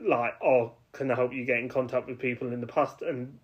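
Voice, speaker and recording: A man's voice: mid-range voice, steady tone, fairly quick. A man hosting a podcast, speaking in an encouraging and hesitant way with many filler words. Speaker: podcast host. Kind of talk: casual interview chat. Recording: dull lo-fi sound.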